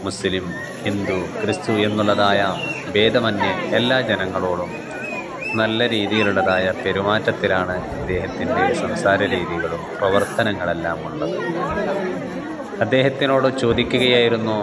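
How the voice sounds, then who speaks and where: A man narrates to his viewers in a fairly low voice. His phrasing is deliberate and broken into short pieces, as he explains things step by step. A loud outdoor crowd is heard behind him throughout. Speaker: video narrator.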